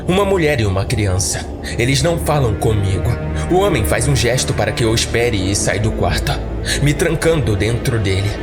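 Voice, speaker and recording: Male Brazilian narrator for a horror podcast, mid-pitched, measured dramatic storytelling with music underneath. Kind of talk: scripted first-person horror story narration.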